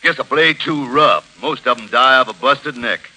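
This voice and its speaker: A man's voice: mid-range voice, moderate pace, sharp tone, rough recording. A man playing a brash, childlike wild cowboy raised by coyotes, with folksy talk, in a 1940s radio western. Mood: boastful. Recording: vintage 1940s radio recording.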